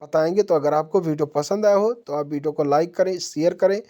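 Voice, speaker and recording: A woman's voice: low-pitched, fairly quick, warm tone. A woman narrating a question-and-answer quiz lesson as an exam-prep teacher, methodically posing each question and then answering it.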